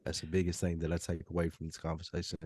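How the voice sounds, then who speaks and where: Male podcast panelist, low register, dry close sound, blunt slangy delivery. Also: monotone, fast, a little mellow, slightly rough, dry recording. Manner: blunt, slangy, swears freely. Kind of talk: casual group discussion.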